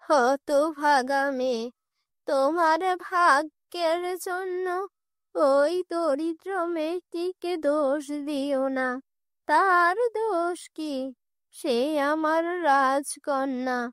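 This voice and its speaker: Woman putting on a very slow old grandmother. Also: high-pitched, a bit bright, no echo, studio quality, exaggerated voice. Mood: reproachful, tender. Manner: slow, drawn-out delivery. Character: frail old grandmother, slow, doting, protective. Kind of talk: cartoon fairy-tale dialogue.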